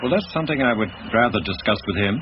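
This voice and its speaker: A man plays an uneasy, well-mannered professor in a radio play. His voice sits fairly low and his speech is reserved and hesitant. The recording is muffled, with some noise. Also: expressive, quick pace, close to an everyday voice. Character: polite, uneasy male professor seeking help. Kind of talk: radio drama acting. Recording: dull, noisy radio drama recording.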